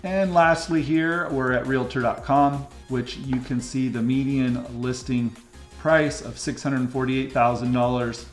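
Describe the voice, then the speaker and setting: A man's voice: mid-range voice, fairly even intonation, unhurried, reverberant, faint music behind. A middle-aged American man giving a casual, chatty guided commentary in the manner of a local real estate host. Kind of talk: casual map-tour commentary. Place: indoor room, screen recording with webcam.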